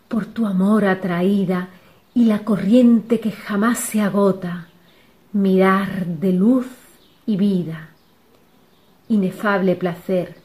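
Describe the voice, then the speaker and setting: A woman reciting a devotional poem in a slow, solemn way, sometimes dropping to a whisper. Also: medium pitch, a little mellow. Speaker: poetry reciter.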